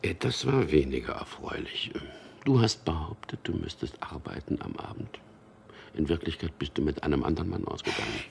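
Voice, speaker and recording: Very deep-voiced, wry lover. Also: male voice, very animated, a little fast, vocal fry, slight background noise, exaggerated voice. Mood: teasing, wry. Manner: dry irony, intimate and conversational. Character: Peter, wry cynical man telling mock news to lover. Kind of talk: German radio drama acting.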